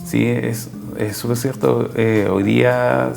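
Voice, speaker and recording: A man with a Chilean accent answering as an interview guest, explaining haltingly and rephrasing as he goes. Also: mid-range voice, steady tone, gravelly, music in the background. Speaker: social worker and program coordinator, guest.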